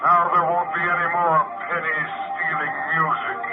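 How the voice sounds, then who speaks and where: A frightened man of about forty, voiced by an actor, talks in a nervous, childlike way, his voice sitting fairly high. The sound is thin and phone-like, with music playing behind him, like an old 1950 radio broadcast. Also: little pitch movement, normal speed, natural voice. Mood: uneasy. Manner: nervous, childlike fear turning to panic.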